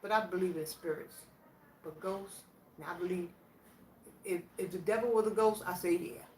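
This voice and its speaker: Vlogger, woman in her 60s, slightly low voice, unhurried and plainspoken; distant mic, faint hiss. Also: a little mellow. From Virginia, USA. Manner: plainspoken, slow and reflective, answering questions aloud. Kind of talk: casual question challenge. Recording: echoey room, voice from a distance, faint background noise.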